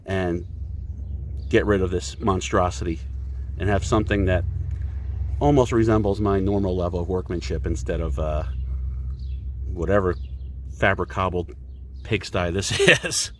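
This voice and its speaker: A man's voice: sits low, a little slow, pauses often, a little hiss or hum. A man hosting a casual do-it-yourself car repair vlog, talking in a rambling, self-deprecating way with a stuffy nose. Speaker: DIY video host.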